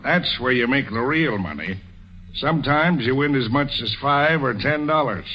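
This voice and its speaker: An actor playing a laconic, grumbling plumber in old radio comedy, with a dry, curt, deadpan delivery. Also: male voice, medium pitch, normal speed, lightly acted. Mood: gruff, boastful. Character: grumbling, laconic plumber full of failed money-making schemes. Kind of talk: 1930s-40s radio comedy acting. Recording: muffled, very noisy old radio recording.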